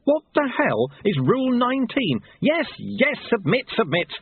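A man's voice: fairly high pitch, very animated, moderate pace, slightly rough, no echo, narrow, tinny audio, pitched above the performer's own voice, heavily acted. A man voicing an earnest, easily indignant young apprentice monk who speaks in a protesting way, in an audiobook. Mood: desperate.

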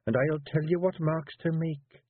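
A man's voice: on the high side, steady tone, phone-quality sound, voice pushed up. A man doing a bossy wee Scottish pixie wife. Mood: coaxing. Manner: brisk, coaxing and bossy. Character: sharp-witted female pixie clan wife, bossy.

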